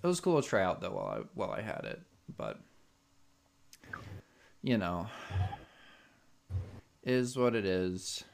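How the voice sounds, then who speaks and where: A man's voice: low-pitched, huge pitch swings, measured pace, vocal fry, long pauses. A man hosting a podcast, chatting casually on a voice call, rambling with many restarts. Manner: rambling, lots of restarts and fillers. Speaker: podcast host.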